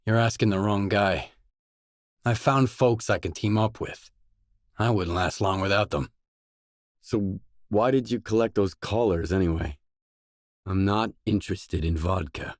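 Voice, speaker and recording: A man's voice: lowish voice, dry recording, studio quality, heavily acted. An actor playing a guarded, blunt male stalker, the protagonist of a post-apocalyptic video game, speaking in terse scripted lines. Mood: blunt, wary, then casual. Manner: terse, blunt, probing questions.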